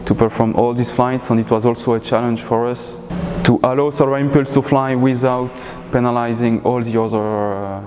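A man's voice: mid-range voice, steady tone, moderate pace, a little mellow, a little hiss or hum. A man giving hesitant interview answers into a lapel mic. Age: 30s. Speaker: air traffic control staff interviewee. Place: indoor atrium with plants. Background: background music.

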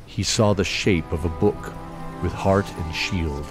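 A man's voice: low voice, unhurried. A man narrating a scripted fantasy story as the storyteller of an audio drama. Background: music.

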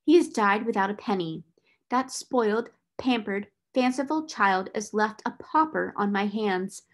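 A woman's voice: medium pitch, a little slow, close to an everyday voice. Lady doing a bossy older schoolmistress from a storybook, fierce n biting, cold anger. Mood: harsh, commanding.

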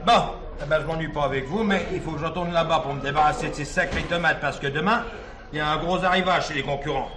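A man's voice: a little high, fast, noisy background, close to an everyday voice. An actor playing a jovial middle-aged self-made produce wholesaler and doting father in a French radio drama, colloquial and chuckling. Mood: jovial, protesting.

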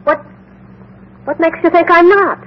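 A woman's voice: very high-pitched, a little slow. A woman playing a hard-bitten, defensive phony medium in a 1950s radio drama, with brassy, slangy delivery. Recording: muffled, hissy 1950s radio recording.

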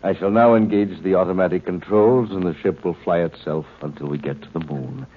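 A cold alien overseer, voiced by a man with a very low, deep voice, lecturing his captives in slow, superior tones. The sound is thin and tinny like an old broadcast, with a faint hiss beneath. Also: lightly acted. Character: cold calm male alien overseer, condescending. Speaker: alien captor. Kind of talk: 1950s radio drama acting.

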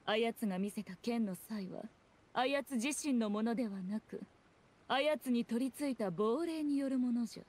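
A woman acts out a haughty fox-spirit priestess from an anime game. Her voice sits a little high, and she speaks in an archaic, imperious way, composed and full of sly scorn. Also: normal speed, a little mellow, lightly acted. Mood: scornful. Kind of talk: Japanese anime-style game acting.

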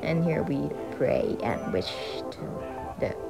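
A woman's voice: low-pitched, expressive, slow pace, creaky, rough recording. A young woman from Thailand with a Thai accent, chatting casually through a travel vlog. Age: young adult. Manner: chatty, upbeat, with frequent "alright" and "you know". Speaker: vlogger. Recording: voice-over with loud background music.

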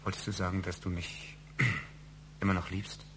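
A man's voice: sits low, flat delivery, unhurried, fairly bright tone, a little hiss or hum. A male actor playing a brooding, suspicious husband with wounded pride in a German radio crime drama, intense and probing. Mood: bitter.

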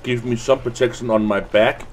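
A man's voice: mid-range voice, steady tone, moderate pace. A man giving casual gameplay commentary, thinking aloud in hesitant mutters. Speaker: let's play host. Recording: constant background noise and game ambience.

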